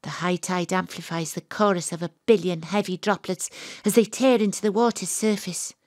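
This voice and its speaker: An actress with a Welsh accent playing a wry, exasperated psychic private detective in an audio drama. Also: female voice, fairly low pitch, fairly even intonation, normal speed, no room sound, studio quality, natural voice. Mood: brooding, wry. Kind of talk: audio drama acting, dialogue and first-person noir narration.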